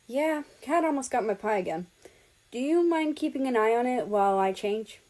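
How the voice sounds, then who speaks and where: A woman's voice: a little high, lively intonation, moderate pace, darkish tone, close to an everyday voice. Lady reading as a feisty playful young woman from a story, emphatic and informal, voice a bit lifted. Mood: huffy, insistent.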